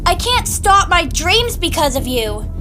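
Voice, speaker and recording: Woman putting on an agitated, high-voiced young man. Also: big pitch swings, measured pace, over music, full character voice. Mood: annoyed. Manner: agitated, exclaiming. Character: anxious, indignant young man who yells and protests.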